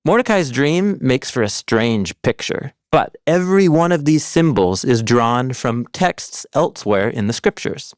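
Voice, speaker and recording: A man's voice: medium pitch, no echo. Dry, close-miked male explainer narrator. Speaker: narrator of an animated Bible overview. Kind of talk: scripted explanatory narration with conversational asides. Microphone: close studio voice-over mic, dry.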